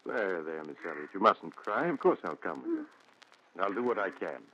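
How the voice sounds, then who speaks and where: An actor playing a measured, theatrical storyteller-doctor in 1940s radio thriller style. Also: male voice, sits low, very animated. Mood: soothing, concerned. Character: ominous mysterious storyteller, also a calm reassuring doctor. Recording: muffled 1940s radio recording.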